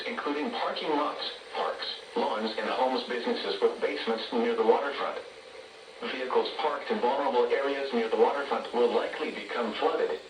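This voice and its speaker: A man's voice: medium pitch, reverberant, recorded from a distance. Noisy weather radio male announcer. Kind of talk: scripted public weather bulletin.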